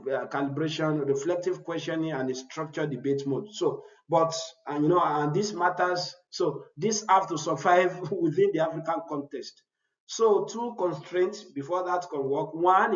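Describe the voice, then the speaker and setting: A man, a Yoruba speaker, presenting a research paper and answering his own rhetorical questions. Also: a little high. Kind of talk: academic conference presentation. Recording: roomy, dull-sounding audio.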